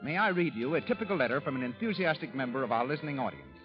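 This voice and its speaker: A man's voice: medium pitch, big pitch swings, rapid-fire. A man with an American accent announcing a scripted 1930s radio show in a formal, emphatic showman's delivery. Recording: dull 1930s radio broadcast, music behind.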